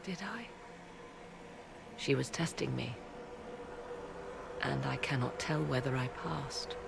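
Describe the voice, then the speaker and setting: A mysterious, proud sorceress in a fantasy game. She speaks very deliberately, with a creaky edge and brooding formality, over noisy ambience. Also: very deep voice, full character voice. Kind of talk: dramatic fantasy game acting. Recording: clear noise, ambience behind voice.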